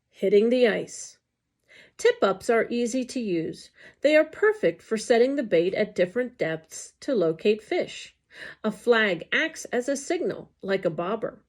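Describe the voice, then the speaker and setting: A woman reading scripted educational narration aloud in a deliberate, enunciated way. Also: medium pitch, darkish tone.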